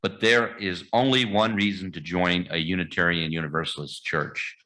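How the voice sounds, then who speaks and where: A man leading a church membership welcome, reading the liturgy in a measured, formal way. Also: low-pitched, steady tone, sharp tone, a little hiss or hum, poor audio. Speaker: membership committee leader. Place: church sanctuary.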